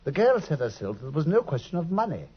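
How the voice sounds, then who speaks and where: An earnest doctor, eager and exclaiming, very animated pitch, husky, muffled old radio sound. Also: male voice, fast talker. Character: earnest loyal male doctor, the detective's companion. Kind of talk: 1950s radio drama acting and narration.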